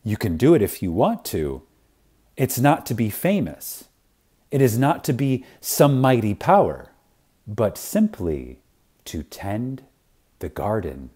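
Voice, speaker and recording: Man, sermon-like dramatic reading, mellow tone, unhurried, reverent, emphatic, deliberate pauses. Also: on the low side, breathy edge. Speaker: reader of a spiritual lecture.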